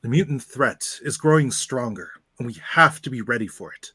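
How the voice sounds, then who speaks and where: A man's voice: medium pitch, animated, sharp tone, slightly rough, no room sound. A man playing a composed, authoritative professor leading a team of heroes in a radio play. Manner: measured, authoritative.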